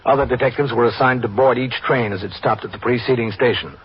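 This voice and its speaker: A man plays a sober police captain in a vintage radio drama. His medium-pitched voice is formal and matter-of-fact, heard through a dull recording with faint hiss. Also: fairly even intonation, quick pace, natural voice. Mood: sober, official. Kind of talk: scripted radio drama narration.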